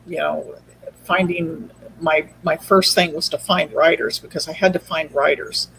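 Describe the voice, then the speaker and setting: A woman's voice: low-pitched, steady tone, a little slow, creaky, a bit bright, a little hiss or hum, low-quality recording. A woman speaking as an interview guest, telling her story in a casual, conversational way. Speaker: podcast guest, audio drama producer.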